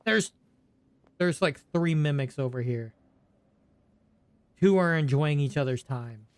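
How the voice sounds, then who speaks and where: High-pitched man streaming a video game. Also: slow, clear, resonant tone. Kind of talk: casual gameplay chat. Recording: game music behind the voice. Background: video game music.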